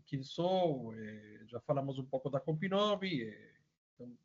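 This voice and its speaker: Venezuelan-accented man presenting a webinar. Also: fairly high pitch. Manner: casual, many fillers and tag questions. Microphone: video call. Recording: muffled lo-fi audio.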